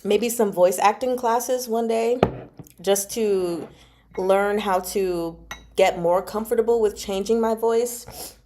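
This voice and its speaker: A woman with a warm, mellow tone at a middle pitch chats casually as host of an online book club. Her delivery is expressive and giggly, like informal talk about a favorite novel with regular viewers. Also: slow, a little hiss or hum.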